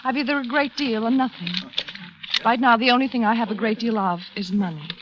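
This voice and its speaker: A woman playing a wealthy, troubled, elegant woman, confiding in a halting, dramatic 1940s radio manner. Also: on the high side, natural voice. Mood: confessional, intense. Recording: muffled, noisy 1940s radio recording.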